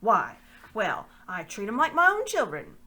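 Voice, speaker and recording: A woman's voice: medium pitch, wildly swooping pitch, measured pace, sharp tone, full character voice. A woman voicing a coarse male slave-dealing pirate who talks in a fawning, wheedling, ungrammatical way. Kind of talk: storybook reading.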